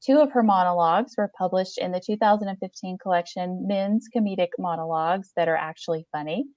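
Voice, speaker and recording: A woman's voice: fairly low pitch, fairly quick, a little mellow, no room sound, highs cut off. A woman hosting a casual live podcast chat, speaking in an enthusiastic, complimentary way. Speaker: podcast host.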